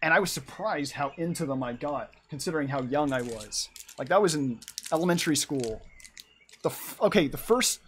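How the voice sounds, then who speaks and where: A man's voice: a little high, expressive, moderate pace, a bit bright, slight background noise. A young man in his twenties chatting and rambling on a live art stream as he draws, laughing often. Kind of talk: casual chat while drawing.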